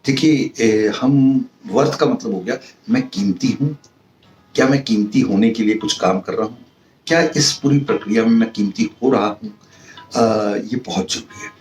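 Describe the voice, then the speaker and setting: A man speaks as a motivational teacher, earnest and halting, with a mid-pitched voice. He sits back from the mic in a roomy space, with music underneath. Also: a little mellow. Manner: earnest, didactic, halting with pauses and repeated phrases. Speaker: professor giving a motivational talk. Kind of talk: motivational public-speaking lecture, mixing in English terms. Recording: roomy, distant mic, faint music behind.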